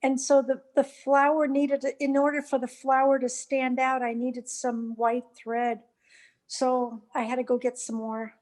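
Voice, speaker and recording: A woman with a clearly high-pitched voice takes part in a group conversation. Her delivery is informal and conversational. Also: little pitch movement, measured pace, darkish tone. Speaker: group participant. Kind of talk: casual chat.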